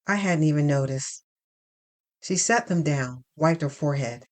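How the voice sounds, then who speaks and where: A woman reading first-person dramatic fiction in a deliberate, expressive storytelling manner. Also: sits low. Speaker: narrator.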